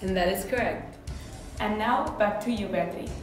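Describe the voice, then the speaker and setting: A woman's voice: mid-range voice, moderate pace. A woman playing an upbeat reporter in a scripted mock news interview. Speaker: student playing a survey reporter. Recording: echoey hall from far off, music behind.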